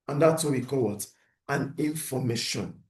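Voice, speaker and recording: A man's voice: medium pitch, moderate pace, roomy sound. A man lecturing beginners, often asking a question and then answering it himself. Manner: animated teacher who poses questions and answers them himself. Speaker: instructor.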